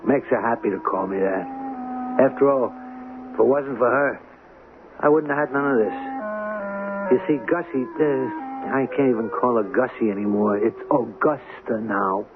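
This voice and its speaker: A tinny old radio recording with music under the voice. An actor plays a small-time hustler, a man of middling pitch who recounts his life in slangy, resigned radio drama narration. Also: normal speed, lightly acted. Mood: wry resignation. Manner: colloquial, resigned, ungrammatical.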